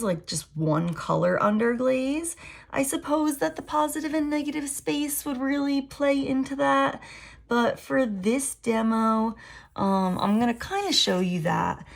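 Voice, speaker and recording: A woman art teacher with a raspy voice, thinking aloud in a relaxed way as she draws a mandala at a desk. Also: on the high side. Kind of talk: drawing demo tutorial.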